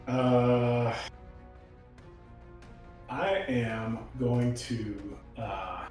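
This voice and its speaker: A man's voice: mid-range voice, fairly even intonation, drawn-out words, darkish tone. Guy playing a tabletop game, super echoey room, kinda hesitant, music in the background. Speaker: player in a tabletop roleplaying game. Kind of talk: casual game-table chat.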